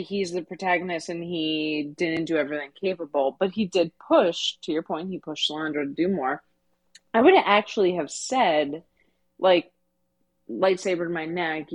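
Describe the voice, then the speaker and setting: A woman co-hosting a podcast, talking through a story casually in a measured, reflective way. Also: sits low, no echo. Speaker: podcast co-host. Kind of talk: casual book discussion.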